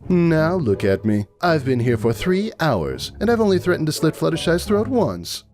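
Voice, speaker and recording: A man's voice: fairly high pitch, very animated, normal speed, darkish tone, soft background music, pitched above the performer's own voice. Man putting on a sultry, menacing siren villainess. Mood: smug. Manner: purring, teasing, theatrical drawl.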